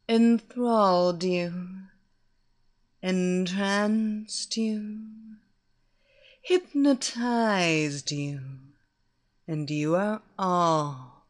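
A seductive American hypnotist with a very slow, breathy, hypnotic murmur , played by a woman. Her lines drag out word by word, and her pitch rises and falls widely as she coaxes her listeners into obeying. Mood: commanding. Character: sultry adult female hypnotist, seductive and commanding.

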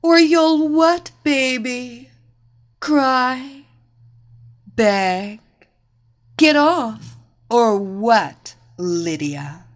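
A woman's voice: mid-range voice, lively intonation, slow pace, a bit bright. A woman voicing a cruel, domineering female fighter in a story, mocking, commanding and gloating. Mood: taunting. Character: domineering blonde female kickboxer, cruel and taunting. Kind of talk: dramatised story reading.

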